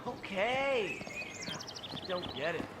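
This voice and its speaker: An actor playing a laid-back, lazy brother with big dreams and a slack, casual manner. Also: male voice, high-pitched, big pitch swings, extremely slow, reverberant, heavy background noise, exaggerated voice. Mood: lazy, dismissive. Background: loud music.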